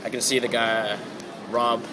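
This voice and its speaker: A man sick and close to losing his voice talks through his card game deck in a loose, offhand way. He drops the odd swear word and trails off with fillers. Clear background noise and passing traffic fill the store around him. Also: mid-range voice, flat delivery, measured pace. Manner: laid-back, swears casually, trails off with fillers. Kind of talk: casual deck walkthrough. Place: hobby store.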